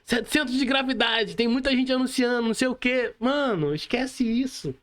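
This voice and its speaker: High-voiced Brazilian man hosting a podcast. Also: moderate pace, a bit bright. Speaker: podcast host, mentor. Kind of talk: casual podcast conversation.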